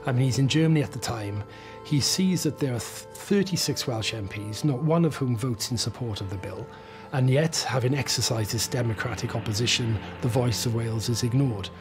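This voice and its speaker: A man's voice: medium pitch, a little fast, music in the background. A man giving a documentary interview, explaining history in a storytelling way with occasional restarts.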